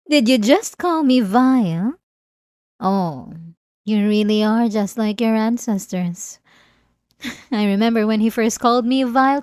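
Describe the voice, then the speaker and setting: A woman voicing an obsessive, possessive centuries-old vampire in an ASMR roleplay, with soft, teasing, intimate delivery. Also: on the high side, dry recording, studio quality. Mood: teasing, amused, then nostalgic, mocking. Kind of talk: ASMR roleplay audio drama.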